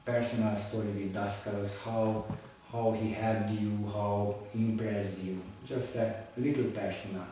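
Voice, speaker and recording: Hesitant interviewer, low voice, echoey. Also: male voice, fairly even intonation, warm tone, a little hiss or hum. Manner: halting, hesitant, many uhs. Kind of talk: interview questions. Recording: echoey room from far off.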